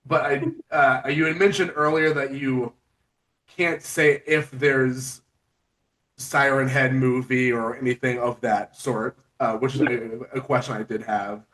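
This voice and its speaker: A man of average pitch hosts a casual interview, sounding set back from the microphone. He talks informally, with an agreeable and enthusiastic manner. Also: little pitch movement, a little slow, a bit bright. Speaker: interview host. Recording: distant from the mic.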